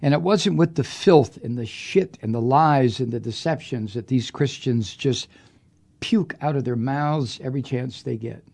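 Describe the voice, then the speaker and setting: A man with a gravelly, mellow-toned voice rants on his podcast. He is angry and profane, and he hammers on his words. His delivery is political commentary, with a dark timbre underneath. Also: mid-range voice, moderate pace. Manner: angry, profane, emphatic ranting. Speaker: podcast host.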